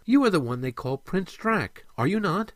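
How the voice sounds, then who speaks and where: A male narrator plays a mermaid rescuer from a fantasy storybook, her voice lifted high and gentle. She speaks earnestly, in soft and stately phrases, with lively rises and falls. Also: normal speed, dry recording, studio quality. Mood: gentle, friendly.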